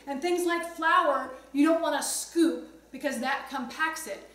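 High-pitched woman teaching in an echoey kitchen. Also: a little slow, a bit bright. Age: middle-aged. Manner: conversational, explanatory, chatty asides. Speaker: cooking instructor, host. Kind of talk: casual instructional demonstration to camera. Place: home kitchen, indoors. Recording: roomy echo, distant mic, some background noise.

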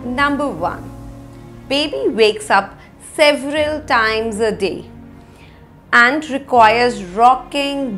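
A woman in her thirties with an Indian accent presents an explainer lesson to camera, phrasing things deliberately and with emphasis. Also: fairly high pitch, fairly bright tone. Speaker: parenting educator, presenter. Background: background music.

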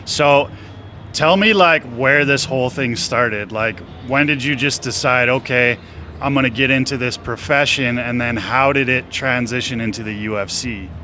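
A man's voice: mid-range voice, normal speed, bright, forceful tone, slight background noise. A young man hosting a casual interview, asking chatty questions outdoors into a handheld mic. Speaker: interviewer host. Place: outdoor rooftop terrace, city traffic behind.

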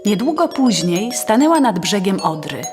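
A woman's voice: medium pitch, big pitch swings, normal speed. A woman narrating a scripted fairy tale as a storyteller. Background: faint music.

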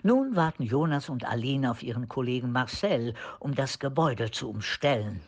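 A man's voice: mid-range voice, expressive, normal speed. A man narrating a scripted radio play as storyteller.

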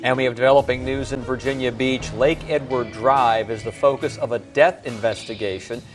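Guy reading local tv news, formal and steady, faint music behind. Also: medium pitch. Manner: measured, formal delivery. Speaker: TV news anchor. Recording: TV news clip played back.

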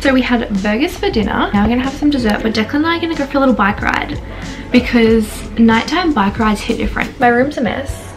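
A woman's voice: a little high, quick pace. A young woman in her twenties chatting casually to a handheld camera in her vlog, upbeat and rambling, with music playing under her voice. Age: 20s. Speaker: vlogger. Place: home rooms indoors and windy beach park outdoors. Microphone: handheld camera close to face.

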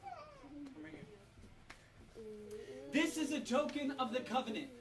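A woman's voice: sits high, wildly swooping pitch, slow, crowd noise. A woman reading scripture aloud and directing children in an instructive way, repeating short directions. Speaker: parent leading a family Bible reenactment. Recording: distant mic with music underneath.

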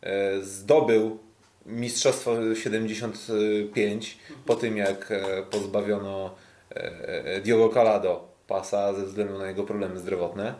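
Male sports podcast host, lowish voice, halting delivery, recorded in an echoing room. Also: level tone, darkish tone. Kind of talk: casual sports podcast discussion. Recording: roomy, echoing sound.

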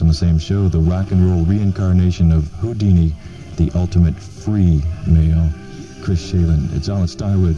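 A man with a low voice and an American accent presents as a radio DJ. His delivery is very bright and forceful. The recording is a dull, lo-fi 1970s radio aircheck. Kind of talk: radio presenting, announcements and concert promos.

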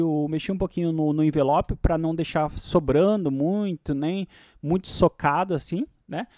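Male tutorial host, Brazilian accent, slightly high pitch, dry close mic, informal software walkthrough. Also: dry recording. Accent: Brazilian Portuguese. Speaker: music production tutorial host.

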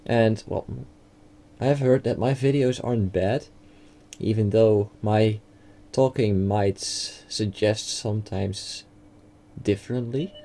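A man's voice: on the low side, slow, dark tone. A young man from the Netherlands with a Dutch accent, talking over a game replay with hesitant fillers and restarts. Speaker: gaming YouTuber. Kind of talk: gameplay commentary over a replay. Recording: game noise behind the voice.

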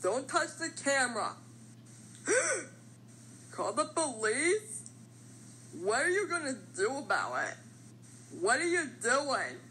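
A woman's voice: sits high, very animated, takes it slowly, pauses often, bright, forceful tone, a little hiss or hum, low-quality recording. A woman performing cartoon character voice lines as an amateur voice actor, in an exaggerated, theatrical manner.

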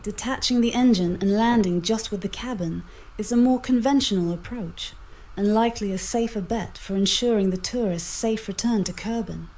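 A woman giving measured, formal advice in full sentences as an AI mission companion. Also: mid-range voice. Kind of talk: scripted, formal advisory narration. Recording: loud noise behind voice.

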